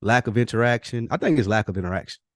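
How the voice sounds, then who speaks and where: A man's voice: mid-range voice, expressive, very fast, fairly bright tone, no room sound, studio quality. A man chatting casually as a podcast host, blunt and questioning, with profane slang. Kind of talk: casual conversational podcast chat.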